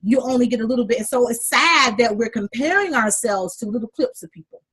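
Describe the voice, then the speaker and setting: A sassy, animated woman with a husky, breathy voice talks in a relaxed, chatty way, sitting somewhat high for a woman. She calls her listeners honey and leans into her points with flair. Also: fairly quick. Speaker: podcast co-host. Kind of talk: casual chat.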